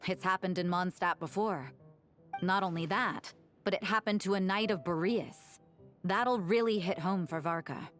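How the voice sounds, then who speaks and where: A woman's voice: fairly low pitch, moderate pace, fairly bright tone, lightly acted. Actress as a wry, composed fantasy storyteller woman. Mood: measured, explaining. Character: wry, composed woman in fantasy game, telling old legends. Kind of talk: scripted game dialogue. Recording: game music behind the voice.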